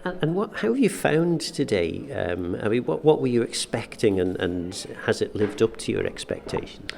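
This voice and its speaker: A man's voice: on the low side, sing-song, normal speed, creaky, darkish tone. A middle-aged man interviewing a guest on the radio, stammering and repeating words as he asks leading questions.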